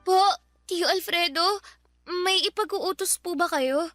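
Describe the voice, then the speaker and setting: A timid young Filipino girl in a radio drama. The voice is extremely high and bright, submissive and pleading, always polite to her elders. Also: unhurried, faint music behind. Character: timid, frightened young orphan girl, meek and obedient.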